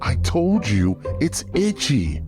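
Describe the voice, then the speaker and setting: Man playing a whiny big lug, swinging pitch. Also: huge pitch swings, takes it slowly, over music. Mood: whiny, pleading. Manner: complaining, pleading. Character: big brawny disguised creature whining about his itchy skin. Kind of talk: tabletop roleplay dialogue.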